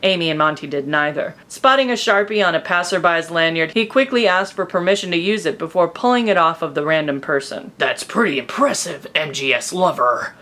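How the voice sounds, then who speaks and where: A woman reading fan fiction aloud as an expressive, amused comedic narrator. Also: fairly low pitch, a little fast, hardly any pauses.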